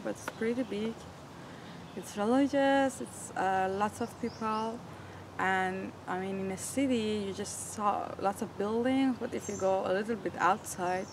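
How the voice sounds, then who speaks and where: Young woman in her twenties, mid-pitched, earnest and searching for words, vehicle noise clearly audible behind her. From Iran. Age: 20s. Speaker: interviewee. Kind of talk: personal storytelling.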